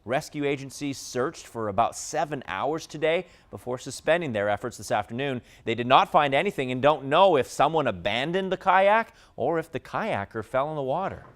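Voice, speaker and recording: A man's voice: mid-range voice, expressive, moderate pace, few pauses. A man with an American accent reading scripted television news as an anchor.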